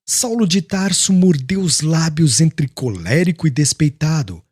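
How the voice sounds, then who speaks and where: Very husky, expressive male audiobook narrator. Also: on the high side. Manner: solemn, formal. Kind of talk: dramatic scripted literary narration.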